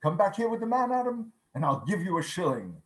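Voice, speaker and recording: Overjoyed old gentleman in a radio play: high, swooping, effusive, echoey room. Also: sing-song, fairly quick. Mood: giddy, generous. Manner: exclamatory, effusive, repeats phrases for emphasis. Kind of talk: theatrical radio play reading. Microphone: video call. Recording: roomy, echoing video call.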